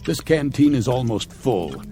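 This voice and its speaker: Suspicious, authoritative spaceship captain. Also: male voice, medium pitch, very animated, a little slow, warm tone, soft background music. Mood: suspicious, accusing. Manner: terse, probing. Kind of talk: radio drama acting.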